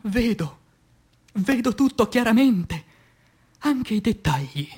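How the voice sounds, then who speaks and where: A man playing an anguished, passionate revolutionary poet in a declamatory radio theatre recitation, with dramatic pauses and swings between hushed and loud delivery. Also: high voice, very animated, slightly rough, full character voice. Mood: fervent. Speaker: stage actor.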